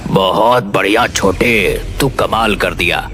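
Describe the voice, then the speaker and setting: A man's voice: deep voice, slow, bright, forceful tone, slight background noise, exaggerated voice. A man voicing a hungry, menacing man-eating forest demon in a cartoon story. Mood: scheming. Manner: menacing, scheming. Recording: music behind the voice.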